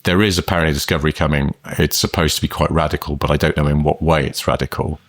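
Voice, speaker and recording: Low-voiced man musing in casual chat. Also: steady tone, fast talker, no echo, clean studio sound. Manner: musing, rambling, sort-of hedging. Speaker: podcast co-host.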